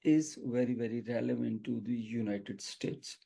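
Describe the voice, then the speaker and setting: A man with a Pakistani accent giving formal, courteous and deliberate interview answers as a guest. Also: mid-range voice, vocal fry, warm tone, breathy edge. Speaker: interview guest, retired general.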